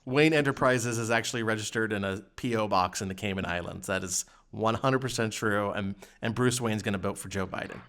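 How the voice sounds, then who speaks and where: A man's voice: fairly low pitch, fairly quick, few pauses, a bit bright. A man co-hosting a podcast, telling anecdotes in casual chat with wry, exasperated asides. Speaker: podcast co-host.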